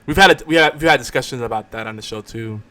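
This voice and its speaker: A man's voice: mid-range voice, fast, bright, forceful tone, dry recording. A man hosting a podcast in casual chat, talking like an enthusiastic fan. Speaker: podcast host.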